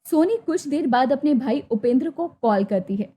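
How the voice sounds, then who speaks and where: A woman's voice: high voice, lively intonation, normal speed, dark tone, breathy edge. A woman reading scripted narration as the storyteller of a cartoon moral tale.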